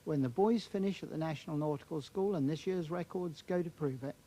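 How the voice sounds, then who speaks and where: Emphatic British man with a higher-pitched voice. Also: a little fast, mellow, poor audio. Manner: emphatic, declamatory, formal. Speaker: councillor, studio guest. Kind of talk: political interview answers.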